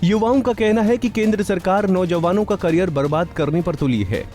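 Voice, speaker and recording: A man's voice: sits high, a little mellow, faint music behind. Man reading a formal news voice-over. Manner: measured, formal. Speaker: news reporter.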